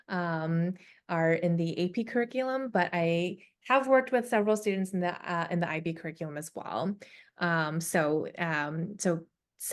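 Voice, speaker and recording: Hesitant woman advisor answering questions. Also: on the low side. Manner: hesitant, many ums, careful and hedging. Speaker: college admissions advisor. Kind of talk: webinar Q and A answers.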